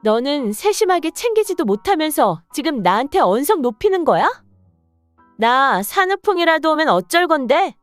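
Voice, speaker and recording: A woman's voice: high-pitched, very animated, normal speed, no room sound, soft background music. A woman voicing an irritable, demanding young wife in a scripted radio story reading. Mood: irritated. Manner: accusing, complaining. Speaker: voice actor reading the wife's lines.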